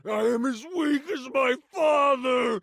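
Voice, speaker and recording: A man voicing a tearful, self-pitying, childish creature in a video game, shouting and wailing melodramatically. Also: very high voice, slow, gravelly, no echo, pitched above the performer's own voice, exaggerated voice. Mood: wailing despair.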